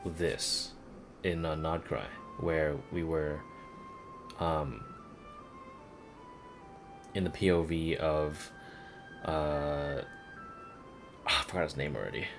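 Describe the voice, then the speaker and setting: A man giving casual livestream commentary on a game, reacting in an animated, informal way. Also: very deep voice, slow pace, creaky, long pauses, over music. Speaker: game streamer.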